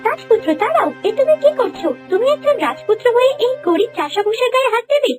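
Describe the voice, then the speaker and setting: A haughty young fairy-tale bride talks fast in a very high voice. She is snobbish, imperious and nagging, with faint music behind. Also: lively intonation, darkish tone. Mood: disdainful, bossy. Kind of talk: cartoon fairy-tale voice acting.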